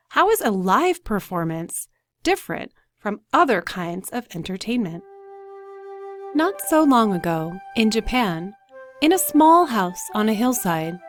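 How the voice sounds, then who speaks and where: Female storybook narrator for young pupils, animated intonation, unhurried pace, faint music underneath. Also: medium pitch, no echo. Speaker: read-aloud teacher. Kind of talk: expressive storybook narration for children.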